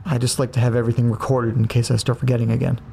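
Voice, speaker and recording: Guy acting as earnest nervous podcaster, mellow creaky voice, audio drama, faint car noise behind. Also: mid-range voice, little pitch movement, racing, close to an everyday voice. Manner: earnest, hesitant, rambling. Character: earnest, anxious amateur podcaster man trapped in mystery. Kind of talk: naturalistic audio drama dialogue. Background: car interior ambience.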